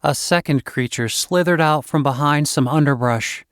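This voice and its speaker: A man's voice: on the high side, normal speed, fairly bright tone, clear, resonant tone, no room sound, clean studio sound. A man reading a fantasy story as scripted narration, as an audiobook reader.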